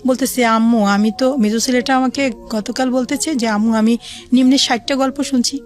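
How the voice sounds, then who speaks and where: A woman's voice: on the high side, steady tone, moderate pace, faint music behind. A middle-aged woman with a Bangladeshi accent, telling her life story conversationally as a guest and quoting remembered dialogue as she goes.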